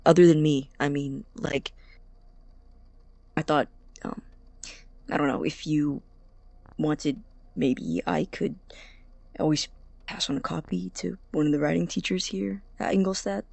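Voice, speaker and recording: An actor playing an awkward, anxious young male college student in an audio drama, halting and rambling, with a creaky voice. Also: mid-range voice, fairly even intonation, a little mellow, no echo. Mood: nervous, apologetic. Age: young adult. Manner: halting, stammering, rambling, nervous laughs. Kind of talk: audio drama acting as a personal voice recording.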